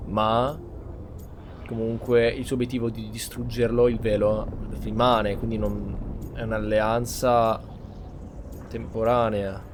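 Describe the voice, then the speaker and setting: A man's voice: lowish voice, flat delivery, unhurried, a little hiss or hum. An Italian man giving casual playthrough commentary as a gaming streamer. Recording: game music behind the commentary.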